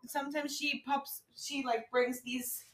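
High-voiced giggly woman guest. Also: level tone, unhurried, a bit bright. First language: Spanish. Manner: giggly, playful. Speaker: returning guest. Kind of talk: casual chat.

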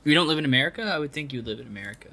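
A man's voice: a little high, lively intonation, rapid-fire, vocal fry, sharp tone. A man hosting a casual comedy podcast, playfully questioning a guest and laughing easily. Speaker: podcast host.

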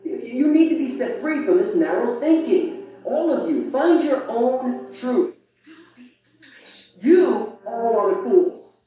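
Defiant young skeptic: very dark tone, scornful, argumentative audio drama role, very echoey distant recording. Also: female voice, a little high, lively intonation, takes it slowly, lo-fi sound. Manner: argumentative, mocking. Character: defiant, scornful young unbeliever goading friends. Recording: very echoey playback from far off.

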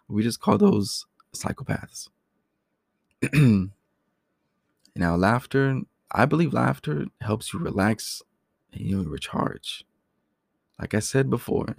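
A man hosting a casual solo talk, his voice raspy, often clearing his throat and speaking loosely. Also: on the low side, no echo, studio quality. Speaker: podcast host.

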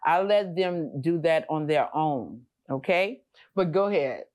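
A woman's voice: deep voice, expressive, unhurried, a little mellow. A woman interviewing a customer, affirming him with many short responses. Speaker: herbal remedy seller hosting the interview. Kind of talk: casual interview chat.

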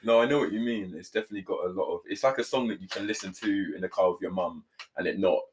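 A man's voice: low-pitched, fast talker, slight background noise. A young man, co-hosting a podcast in loose, rambling casual chat full of fillers. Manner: laid-back, rambling, lots of "like" and "you know what I'm saying". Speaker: podcast co-host.

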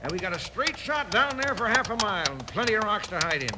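Whining, menacing outlaw henchman, high pitched with lively swings, Western drawl, old radio western. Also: fast talker. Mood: smug, menacing. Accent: American Western. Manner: grumbling, taunting drawl. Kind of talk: 1950s radio western acting. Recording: very noisy old radio recording, clicks.

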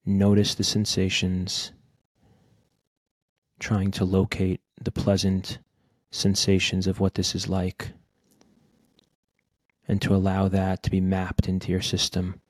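A man leading a guided meditation in a gentle, unhurried manner, speaking in short phrases with long pauses. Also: sits low, monotone, no echo. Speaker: podcast host guiding a meditation.

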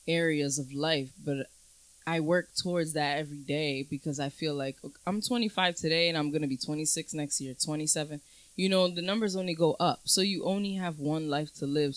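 A woman's voice: deep voice, no room sound. A woman in her twenties on a casual podcast, talking in a reflective, earnest way and drawing out her phrases. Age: 20s. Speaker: podcast co-host. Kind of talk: casual chat.

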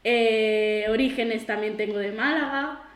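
A woman's voice: fairly high pitch, unhurried. A woman in her late twenties from Girona, co-hosting a casual podcast, laughing easily and teasing in a dry way. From Girona, Catalonia, Spain. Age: 20s. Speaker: podcast co-host. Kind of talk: casual chat. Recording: echoey room.

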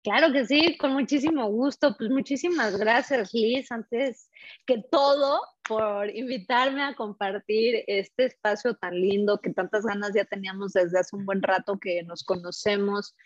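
A woman's voice: medium pitch, a little slow, no echo. A woman with a Mexican accent, telling personal stories casually and expressively as a podcast guest. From Mexico City, Mexico. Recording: dull lo-fi microphone sound.

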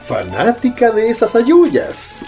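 A man playing a gentle, devout father in a radio drama, coaxing in manner, with a Chilean accent. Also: very high voice, huge pitch swings, slow, faint music behind, full character voice. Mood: light, homely.

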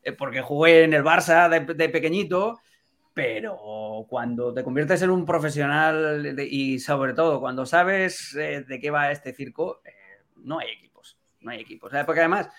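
A man from Catalonia, a football TV presenter, guest on a live podcast chat, talking in an animated, opinionated way with many fillers over a video call. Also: a little high, moderate pace. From Catalonia, Spain.

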